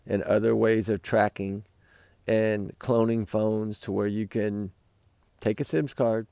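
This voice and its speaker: A man talks to his viewers in a rambling, earnest way from a campfire at night. His voice is hoarse and gravelly, with a mellow, dark tone. The sound is dry and close, with no echo. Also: on the low side. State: losing his voice. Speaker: livestream host. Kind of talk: casual night talk to viewers.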